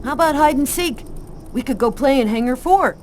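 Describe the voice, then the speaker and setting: A woman's voice: sits high, animated, fairly quick. A woman voicing a playful, eager young child in a video game, with noise behind. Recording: clear ambient vehicle noise behind.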